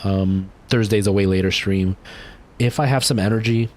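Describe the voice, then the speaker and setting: Young man, streamer signing off, slightly low voice, rambly and chatty, pitch jumps up when excited. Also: moderate pace. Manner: chatty, rambling, many fillers and restarts. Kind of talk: casual chat ending a game stream.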